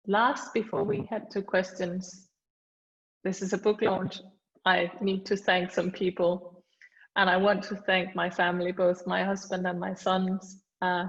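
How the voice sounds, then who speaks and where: Danish-accented woman author, hesitant. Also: medium pitch. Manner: hesitant with many fillers and restarts. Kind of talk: reading aloud then thanking people at an online book launch. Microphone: video call. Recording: dull lo-fi video call audio.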